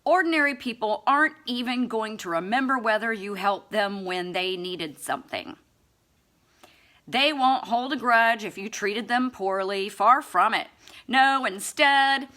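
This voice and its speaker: A woman with a fairly high, bright and forceful voice reads a book aloud at an unhurried pace. Her delivery is theatrical and emphatic, laced with mock-earnest sarcasm. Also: lively intonation. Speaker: amateur audiobook reader. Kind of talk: expressive scripted book reading.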